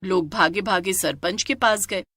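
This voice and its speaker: A woman's voice: medium pitch, unhurried, bright, forceful tone, no room sound, studio quality. A woman narrating a scripted moral tale as a dramatic storyteller.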